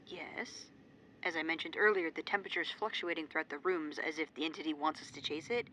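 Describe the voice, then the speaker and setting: A brisk young female ghost-hunting tech, played by a woman in an audio drama. She talks fast in a lowish, businesslike voice, with ambient noise around her. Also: sharp tone. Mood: defensive. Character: brisk, technical young female paranormal investigator.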